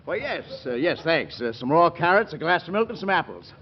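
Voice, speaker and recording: Hearty muscly suitor, old radio comedy, kinda high voice, hissy muffled recording. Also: male voice, big pitch swings, fairly quick. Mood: polite, earnest. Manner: bold, forthright, earnest. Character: brawny, hearty, health-food-loving athletic bachelor suitor. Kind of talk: 1940s radio comedy acting.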